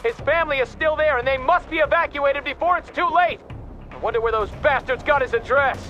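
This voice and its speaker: An actor playing a gruff, resentful American army captain in a video game, brash and barking orders. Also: male voice, extremely high pitch, a little fast, pushed and bright, lo-fi sound, soft background music. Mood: urgent. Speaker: army captain, company commander.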